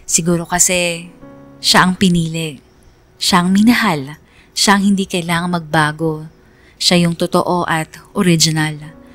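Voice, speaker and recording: A woman's voice: on the low side, a bit bright, lightly acted. A woman playing a hurt young woman, narrating her heartbreak in a wistful, reflective radio drama style. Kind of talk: scripted first-person radio drama narration.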